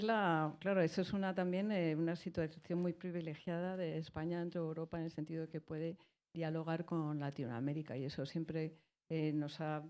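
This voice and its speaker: A middle-aged woman with a mellow, rather low voice talks through ideas on a panel. She is thoughtful and halting, with many restarts and fillers. She speaks as a musician from Spain. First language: Spanish. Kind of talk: public talk on a panel.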